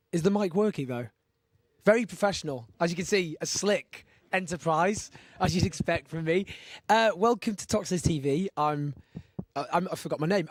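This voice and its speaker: A man in his thirties hosting a live chat show, with a husky voice and a rambling, self-mocking way of talking. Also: a little high, dry recording. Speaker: host.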